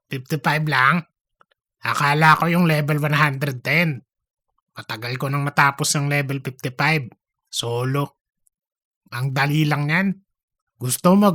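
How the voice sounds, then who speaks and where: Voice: medium pitch, pushed and bright, dry recording. A performer acting out chatty office colleagues in a comedic audio drama, with an exaggerated, teasing conversational manner.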